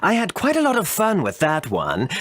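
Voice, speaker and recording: Boastful mad-inventor villain for a game: raspy, theatrical, gloating, extremely animated pitch. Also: male voice, dry recording, studio quality, heavily acted. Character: cackling, boastful villain inventor with explosive temper. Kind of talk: video game voice acting.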